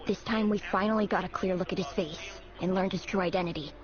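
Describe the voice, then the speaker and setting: An actress playing an embittered, vengeful rebel of a fallen dynasty, sardonic and fervent, in a dramatic video game style. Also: female voice, fairly low pitch, steady tone, fast talker, lightly acted. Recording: dull game audio under loud music.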